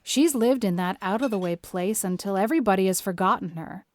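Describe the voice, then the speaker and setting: A woman playing a stern, no-nonsense older country guardian in an audio drama, brisk, opinionated and plain-spoken. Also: medium pitch, darkish tone, natural voice. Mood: reflective, matter-of-fact.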